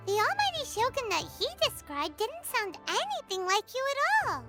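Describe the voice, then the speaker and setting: A woman voicing a small, chirpy fairy companion girl in a game, with a high, bubbly voice. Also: huge pitch swings, moderate pace, full character voice. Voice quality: high, bubbly, refers to herself by name. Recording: studio game dialogue with background music.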